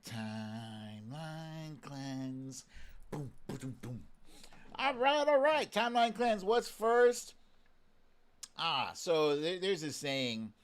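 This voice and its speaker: Unhurried man chatting playfully on a livestream. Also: on the high side, very animated. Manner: playful, slangy, repeats phrases. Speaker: live stream host. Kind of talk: casual live commentary, chatting with viewers.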